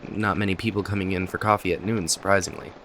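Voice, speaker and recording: A man's voice: low voice, fast. A man acting a talkative, kindly cafe owner in an ASMR roleplay, chatting to the listener in a friendly, hesitant, apologetic ramble. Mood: chatty, upbeat. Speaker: voice actor in an audio roleplay. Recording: steady rain noise behind voice. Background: rain and cafe ambience.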